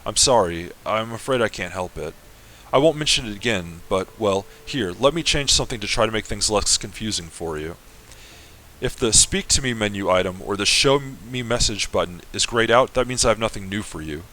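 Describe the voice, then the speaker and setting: A man's voice: lowish voice, animated, moderate pace, pushed and bright, slight background noise, low-quality recording. A man reading the lines of an apologetic, chatty female ship AI from a game. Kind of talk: semi-dramatic reading of a game.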